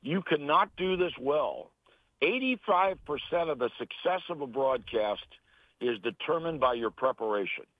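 A man's voice: a little high, expressive, moderate pace, dry recording. An American man, middle-aged or older, speaking as an interview guest in an earnest, emphatic conversational way, repeating phrases for stress. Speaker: interview guest, sports announcer and broadcasting teacher. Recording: tinny phone line.

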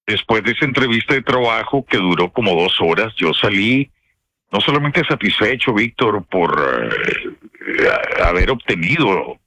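A man from northern Mexico with a northern Mexican accent, a radio broadcaster telling anecdotes in a casual interview with expressive, slangy speech. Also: fairly low pitch, unhurried, dry recording. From Piedras Negras, Mexico. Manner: colloquial, expressive storyteller with slang.

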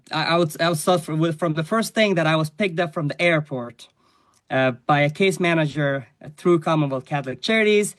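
A man from Afghanistan tells his personal story as a guest on an interview show. His voice sits fairly high, and he speaks in an earnest, measured way with plenty of fillers. He is recorded close and dry, with no room sound. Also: fairly bright tone, no room sound. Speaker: guest, charity staff member.

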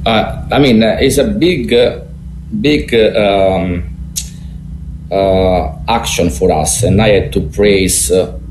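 A man with a thick Italian accent, a native Italian speaker, explaining as an expert guest in a halting, hesitant way. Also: on the low side, darkish tone. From Italy. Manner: halting, hesitant delivery with throat clearing. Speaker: geologist and professor, interview guest. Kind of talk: explanatory interview answers. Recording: echoey room with faint noise.

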